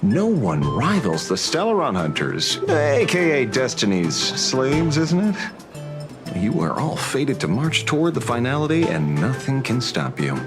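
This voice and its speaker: An actor playing a world-weary, sardonic older detective and ranger in a video game, with a theatrical, courtly drawl. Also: male voice, medium pitch, wildly swooping pitch, a little slow. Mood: sardonic, wary. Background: background music.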